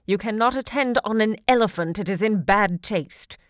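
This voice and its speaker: An actress playing a self-assured literary salon hostess in an audio drama, poised and savouring each word. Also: female voice, mid-range voice, moderate pace, no room sound, studio quality, natural voice. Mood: imperious, charming.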